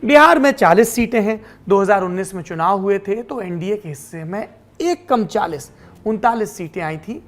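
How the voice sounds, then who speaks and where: A woman's voice: medium pitch, lively intonation, moderate pace. A woman presenting explanatory political news commentary as a news presenter.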